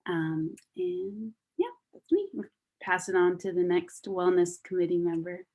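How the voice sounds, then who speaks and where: A young woman from Las Vegas, a student, hosting a relaxed online welcome session on a video call and chatting with many fillers. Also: lowish voice, unhurried, lo-fi sound. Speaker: wellness committee member hosting a video call. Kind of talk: casual online presentation.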